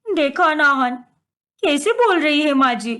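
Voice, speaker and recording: महिला की आवाज़ में ऊँची आवाज़ वाली चालबाज़ जवान बहू. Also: female voice, high voice, lively intonation, measured pace, fairly bright tone. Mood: aggrieved. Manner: whining, complaining, dramatic. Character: scheming young daughter-in-law, whiny and deceitful.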